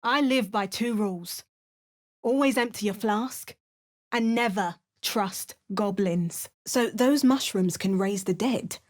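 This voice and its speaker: A woman voicing a young adventuring spellcaster in video game voice lines, with dry, wry asides and crisp incantations. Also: mid-range voice, slow, close to an everyday voice.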